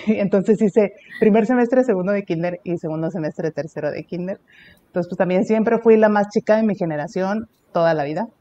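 A woman's voice: medium pitch, moderate pace, dark tone, highs cut off. A woman religious sister with a Mexican accent, chatting casually as an interview guest, with lots of fillers and easy laughter. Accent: Mexican Spanish.

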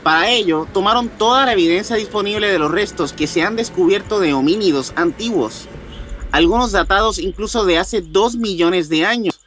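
A man's voice: high-pitched, normal speed, a bit bright, a little hiss or hum. A man with a Puerto Rican accent, presenting and reading news as a podcast co-host. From Puerto Rico.

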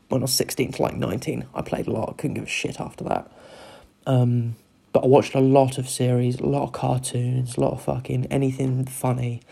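A man with a croaky voice, rambling through a sweary, casual solo chat as a podcast host. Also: mid-range voice, warm tone. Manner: rambling, sweary, loses his train of thought.

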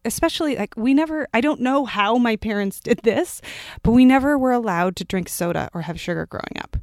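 A woman in her thirties from California, a podcast guest telling stories about her upbringing in a casual, animated way. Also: on the high side, brisk, dry recording, studio quality. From Palo Alto, California. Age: 30s. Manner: animated storyteller, acts out quotes. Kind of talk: casual interview storytelling.

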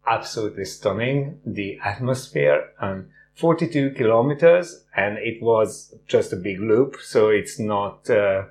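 A middle-aged man who grew up in Hungary, speaking in a fairly low voice as a guest on a casual interview. He tells his story warmly and fills the pauses with "uh". A faint hum runs behind him. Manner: warm storytelling with frequent "uh" fillers. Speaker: guest, runner and teacher.

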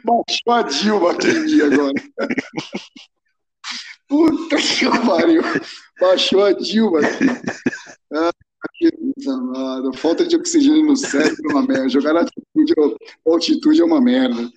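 A man's voice: fairly high pitch, animated, moderate pace, a little mellow, poor audio. A man from São Paulo, chatting casually and rambling as a podcast co-host, swearing freely. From São Paulo, Brazil. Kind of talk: casual chat.

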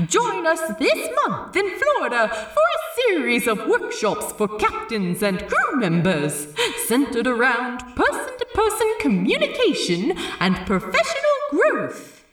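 Woman as a swooping, sing-song pirate pitchman. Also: wildly swooping pitch, unhurried, a bit bright, heavy reverb, recorded from a distance, heavily acted. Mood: grandiose, upbeat. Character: grandiose, peppy male pirate advertisement pitchman. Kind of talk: mock advertisement read in an audiobook.

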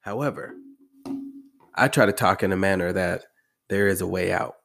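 Congested, low-voiced American man podcasting. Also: moderate pace, creaky, fairly bright tone. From American Midwest. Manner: conversational, emphatic repetition. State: congested, slightly hoarse from allergies. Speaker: podcast host. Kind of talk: casual motivational monologue.

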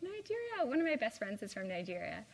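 A woman's voice: on the high side, huge pitch swings, very fast. A young woman from the American Midwest giving an informal live talk to a small university group, chatty with plenty of "like" and "um". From Midwest US (Indiana). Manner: chatty, lots of "like" and "um". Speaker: guest speaker. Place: university lecture room.

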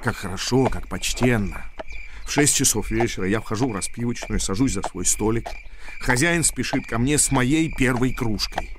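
An actor playing a timid, bitter bookish commoner in a radio drama, deferential and quietly ironic. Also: male voice, medium pitch, moderate pace, lightly acted. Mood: bitter irony. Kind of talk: radio drama monologue and dialogue. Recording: radio play with noisy background.

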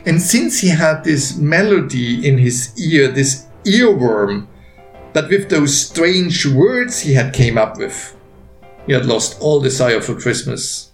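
A man narrating a written story in a deliberate, expressive way with pauses, as an author reading his own work. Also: a little high. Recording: roomy echo, faint music behind.

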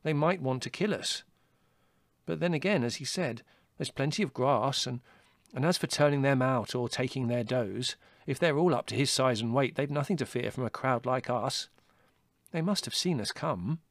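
A man's voice: medium pitch, moderate pace, dry recording, studio quality, close to an everyday voice. A man reading a storybook, voicing a clever young male rabbit who reasons things through. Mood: reasoning.

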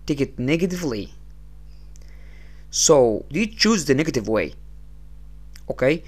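Egyptian guy hosting his podcast, slow and halting, repeats himself, cheap little mic. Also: medium pitch, very animated, a little mellow. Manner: halting, repeats words, non-native phrasing. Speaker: podcast host. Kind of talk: casual motivational monologue. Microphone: small cheap mic.

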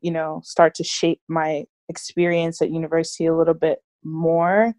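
Low, level-voiced young woman from Nigeria. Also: monotone, moderate pace, darkish tone, dry recording. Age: young adult. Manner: reflective, frequent fillers like "like" and "you know". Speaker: interview guest, student activist. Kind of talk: casual interview conversation.

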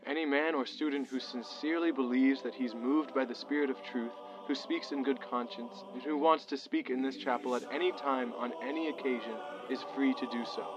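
A man, a chaplain, recounting events for an oral history in deliberate, formal phrasing. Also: mid-range voice. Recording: dull archival interview tape.

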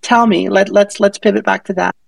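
A woman's voice: medium pitch, fairly even intonation, gravelly, dry recording. A woman hosting a casual live audio chat, joking with the audience and drawing out her questions. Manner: playful, joking, drawn-out questions.

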